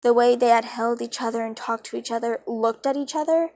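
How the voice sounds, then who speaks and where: A woman's voice: a little high, brisk, warm tone. A woman reading a story aloud as an amateur narrator, informal and dramatic, with casual asides. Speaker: fan fiction reader.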